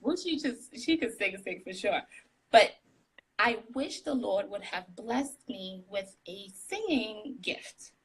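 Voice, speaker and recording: Husky animated woman on a live call. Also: mid-range voice, slow, low-quality recording. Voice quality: describes her own voice as tiny. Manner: candid, animated, laughs often. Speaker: guest. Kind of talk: casual interview chat. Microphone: phone on a live video call.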